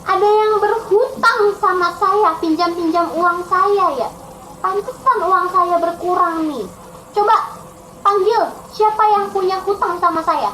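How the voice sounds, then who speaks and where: A woman's voice: very high voice, measured pace, sharp tone, echoey room, a little hiss or hum, full character voice, low-quality recording. A woman storyteller acting out a rich king who speaks emphatically to his servant. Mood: stern, demanding. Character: rich, imposing but merciful king of a children's story.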